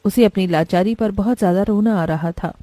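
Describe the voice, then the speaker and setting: A woman's voice: mid-range voice, moderate pace, warm tone, no echo. A woman narrating a scripted children's story.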